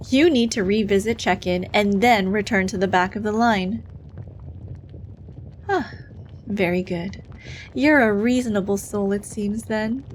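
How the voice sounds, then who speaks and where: A woman acts out a female demon bureaucrat who interviews souls for entry to hell. She is brisk, officious and dryly condescending, speaking at a medium pitch in an ASMR roleplay. Some noise sits behind the voice. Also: natural voice, rough recording. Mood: brisk, impatient, then businesslike, approving.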